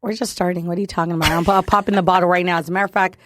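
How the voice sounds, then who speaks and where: A woman's voice: fairly low pitch, fairly even intonation, racing, a little hiss or hum. A woman hosting a podcast in loose, casual conversation. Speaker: podcast co-host. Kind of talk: casual chat.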